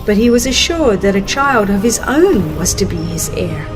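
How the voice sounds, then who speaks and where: A woman narrating a religious book in a solemn, measured, dramatized storytelling style. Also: mid-range voice, big pitch swings, poor audio. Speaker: audiobook narrator. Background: background music.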